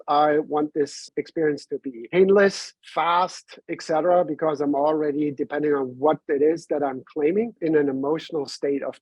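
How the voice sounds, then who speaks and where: A man in his forties with a German accent, a podcast guest explaining insurance consulting in a measured, step-by-step way. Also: on the high side, darkish tone, slightly rough, no room sound. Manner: measured, explanatory, builds long points step by step. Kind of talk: conversational business interview. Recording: slightly dull lo-fi sound.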